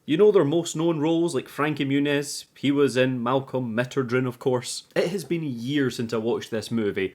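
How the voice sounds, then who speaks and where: A man giving a casual scripted movie recap as a reviewer, chatty and informal, with casual swearing. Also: medium pitch.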